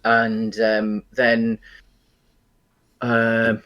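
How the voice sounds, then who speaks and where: A man co-hosting a podcast, discussing film casually in a halting, explanatory way. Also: on the low side, monotone, a bit bright, lo-fi sound. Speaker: podcast co-host.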